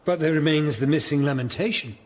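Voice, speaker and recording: An actor playing an earnest, dutiful Tudor lawyer-detective who narrates his case in a radio drama, with an English accent and a measured, articulate delivery. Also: a little high, slight background noise, lightly acted. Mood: regretful. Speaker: narrator and lead character. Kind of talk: radio drama acting and first-person narration.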